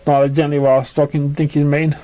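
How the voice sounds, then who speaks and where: A man's voice: a little high, level tone, brisk, warm tone. A man narrating a scripted story, sometimes stumbling over the words as he reads. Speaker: fan fiction reader.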